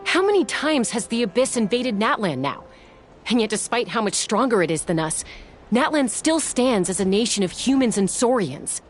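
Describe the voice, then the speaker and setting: A woman's voice: a little high, big pitch swings, normal speed. An actress playing a tough, principled young woman warrior in a video game, blunt, firm and confrontational. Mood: firm, reproachful. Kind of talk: scripted video game voice acting. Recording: music under the voice.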